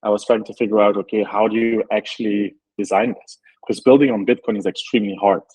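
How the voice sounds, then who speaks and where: A man from Belgium with a low, husky voice, explaining his work in an interview. He talks thoughtfully, with frequent ums and likes as he searches for words. The sound is dry and close. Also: steady tone, fast talker, darkish tone, no room sound, rough recording. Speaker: startup founder. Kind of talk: interview answers.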